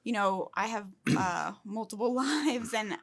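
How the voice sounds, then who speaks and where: A woman's voice: medium pitch, unhurried, dry recording. A woman hosting a podcast, who keeps the talk upbeat and encouraging and follows up with friendly questions and frequent laughs. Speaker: podcast host, interviewer. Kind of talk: casual interview chat.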